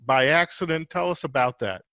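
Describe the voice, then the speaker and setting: Higher-voiced man, bright and crisp-edged. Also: lively intonation, moderate pace, no room sound. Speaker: podcast host interviewer. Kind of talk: conversational interviewing.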